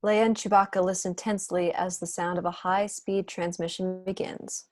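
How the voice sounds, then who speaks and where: A woman's voice: fairly low pitch, flat delivery, normal speed, a little mellow, no echo. A woman reading screenplay stage directions as scripted narration in an online table read. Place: indoors on a video call.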